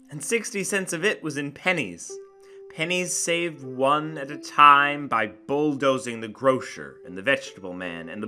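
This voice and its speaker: A man giving a theatrical, deliberate dramatic reading of a literary short story in an expressive storyteller's manner. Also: medium pitch. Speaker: narrator and channel host. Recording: acoustic guitar music behind the voice. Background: plucked guitar music.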